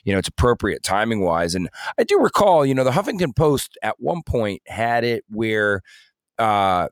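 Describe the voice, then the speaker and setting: A man's voice: on the low side, big pitch swings, moderate pace, no echo, clean studio sound. A man co-hosting a podcast in casual discussion, explaining things in a rambling, hedging way. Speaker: podcast co-host.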